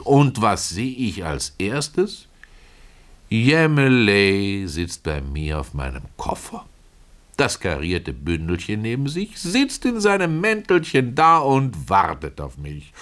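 Humble former caretaker, extremely deep voice, soft-hearted, folksy, ruminative, first-person radio-play storytelling. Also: male voice. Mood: bemused, resigned. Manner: folksy, ruminative, addressing a listener, with rhetorical asides.

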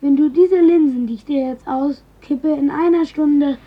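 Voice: high-pitched, measured pace. A child retelling a fairy tale from memory, recorded on a lo-fi cassette tape.

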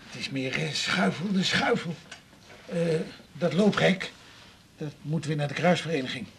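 A man playing a frail, housebound old patient in a radio drama, grumbling in a halting, plain-spoken way with a rough, husky voice. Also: a little high, fairly bright tone, slight background noise. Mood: resigned. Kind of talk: 1980s Dutch radio drama acting.

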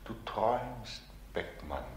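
An echoing male voice of hope in a 1940s radio play. It is lowish and slow, swinging widely in pitch as it solemnly urges and consoles. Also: expressive, darkish tone. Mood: urging, solemn. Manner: solemn, urging. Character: insistent, consoling male voice of optimism. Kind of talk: 1940s radio drama acting.

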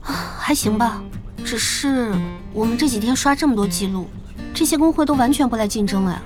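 Actress as a gentle, high-voiced young woman gamer. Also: fairly quick, over music, natural voice. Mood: mild. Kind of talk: Chinese audio drama acting.

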